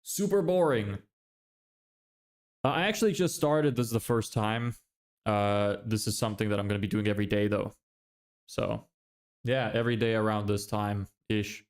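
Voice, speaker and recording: A young man with a fairly low voice chats casually on a livestream, answering viewers as he works. He is from Lithuania but grew up in Ireland. His talk is relaxed and rambling, full of restarts. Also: moderate pace, pauses often, clear, resonant tone. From Lithuania, raised in Ireland. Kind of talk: casual livestream chat, reading chat.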